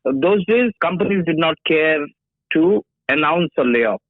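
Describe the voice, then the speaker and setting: A man in his sixties, a guest with a background in HR, explaining slowly and haltingly during a phone interview. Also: fairly high pitch, expressive, dry recording. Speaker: HR industry guest. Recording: dry tinny phone line.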